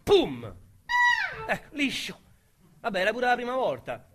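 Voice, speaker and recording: An actor with a Roman accent playing a young, boastful, foul-mouthed street criminal in a radio drama monologue, with coarse slang and a swaggering delivery. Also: male voice, sits high, wildly swooping pitch, a little slow, heavy background noise, full character voice. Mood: intense. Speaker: narrator and lead character.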